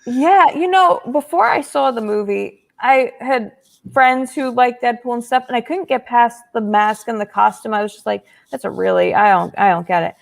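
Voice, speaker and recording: Gravelly, fairly high-voiced woman guest. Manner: animated, opinionated, quick to laugh. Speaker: podcast guest. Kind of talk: casual chat.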